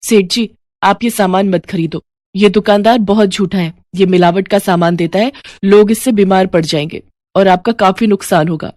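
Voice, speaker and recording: A woman's voice: mid-range voice, steady tone, moderate pace, darkish tone, dry recording, clean studio sound, close to an everyday voice. A woman voicing a poor, hardworking, defiant mother who sells sweets, outspoken and firm, in a moral story. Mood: earnest pleading. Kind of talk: moral-story cartoon acting. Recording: music bed behind the voice.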